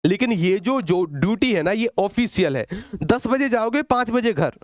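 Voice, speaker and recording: A man's voice: high-pitched, expressive, normal speed, dry recording, clean studio sound. A man lecturing students as an exam coach, speaking directly and conversationally and often repeating points for emphasis. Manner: asks questions and repeats the answers for emphasis.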